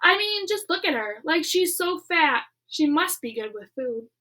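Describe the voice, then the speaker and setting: A woman's voice: high-pitched, big pitch swings, a little slow, pushed and bright, heavily acted. A woman voicing a bossy, mean-spirited preteen schoolgirl who sneers and keeps saying "like" in a storybook reading. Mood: sneering. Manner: sneering, peppered with "like".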